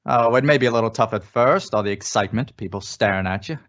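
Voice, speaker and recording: A man's voice: lowish voice, quick pace, a bit bright, lightly acted. A man playing a folksy, insinuating former small-town police chief in an old-time radio suspense drama, coaxing and persuasive. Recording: dull, lo-fi audio.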